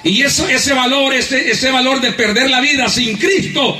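A man's voice: very high-pitched, normal speed, pushed and bright. A man with a Honduran accent preaching a fervent evangelical sermon, emphatic and often laughing as he calls out for praise and applause. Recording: echoey church hall miked from a distance.

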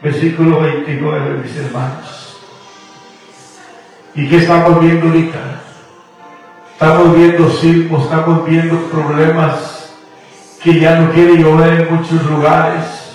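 A man's voice: a little high, flat delivery, a little slow, pauses often, darkish tone. A man from Guatemala, preaching a radio sermon in a fervent, sing-song cadence. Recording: echoey, distant radio broadcast with faint music.

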